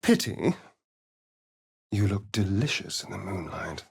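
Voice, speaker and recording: A man's voice: sits low, expressive, a little slow, creaky, a little mellow, husky, exaggerated voice. An actor playing a vain, sardonic young-looking male vampire in a video game, with an arch, theatrical manner. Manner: arch, sly and theatrical, drawling. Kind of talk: game voice acting.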